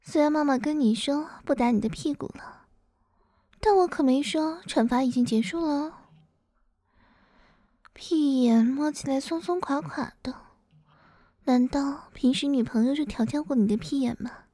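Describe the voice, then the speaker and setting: A woman acting as a domineering middle-aged mother-in-law in an adult audio drama, high-voiced yet mellow. She teases and gives slow orders, often dropping into breathy whispers. The sound is close and dry. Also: dry recording, natural voice. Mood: teasing, stern. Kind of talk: intimate adult audio roleplay, partly whispered.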